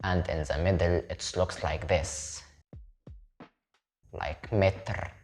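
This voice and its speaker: A man with a very low voice teaches Arabic to beginners in English, his own first language being Arabic. He speaks slowly and says each word twice so the learner can repeat it. Soft music plays faintly underneath. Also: steady tone. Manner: repeats words slowly for the viewer to copy. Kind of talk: slow step-by-step language lesson.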